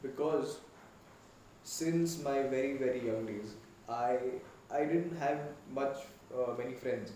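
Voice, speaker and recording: Young Indian man, reflective, rambling, mellow voice, faint background noise. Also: mid-range voice, takes it slowly. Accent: Indian English. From Goa, India. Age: young adult. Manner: reflective, rambling with many restarts. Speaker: podcast guest. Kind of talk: casual chat. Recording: echoey room, distant mic, faint noise.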